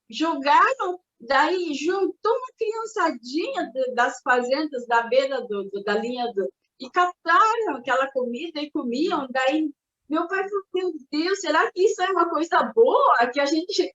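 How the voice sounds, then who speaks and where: A fairly high-voiced older woman, born in Japan, recalls the past in a thoughtful, halting way, often breaking off and starting over. Her voice sits in a roomy space, and the recording sounds dull and muffled. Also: animated, fairly bright tone. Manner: reflective, hesitant, with many restarts and fillers. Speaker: interviewee. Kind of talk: recalling her family's immigration.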